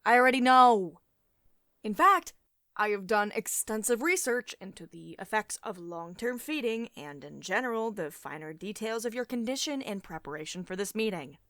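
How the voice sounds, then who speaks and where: A commanding female CEO, acted by a woman, speaks in a dry, close ASMR roleplay recording. Her pitch moves freely as she reprimands in a condescending, sardonic way. Beneath the strict authority there is a caring edge. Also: lively intonation, normal speed, fairly bright tone, no room sound. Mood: cold, commanding, then firm, instructive. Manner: domineering, sardonic, clipped reprimands with sugary pet names.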